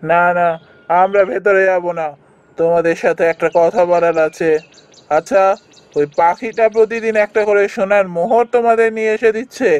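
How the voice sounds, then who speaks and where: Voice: medium pitch, fairly even intonation, normal speed, darkish tone, smooth, lightly acted. A performer playing a stern, commanding royal man in a fairy-tale cartoon, questioning with authority.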